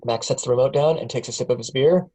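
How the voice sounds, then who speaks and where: Table-read narrator, male, mellow and plain. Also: medium pitch, fast talker, a little hiss or hum. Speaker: narrator reading stage directions.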